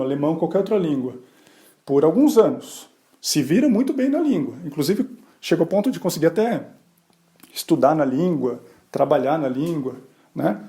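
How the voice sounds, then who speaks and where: Male podcast host from Brazil, slightly high, warm mellow voice, chatty explainer. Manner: conversational, rhetorical questions, frequent "né?" tags; brief voiced asides acting out other people. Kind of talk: explanatory solo podcast talk.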